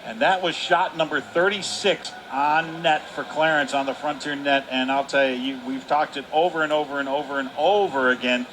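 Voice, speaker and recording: A man's voice: a little high, steady tone, moderate pace, few pauses. A man gives unscripted hockey analysis as colour commentator, emphatically repeating words for stress. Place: indoor ice hockey arena. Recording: faint noise of live rink.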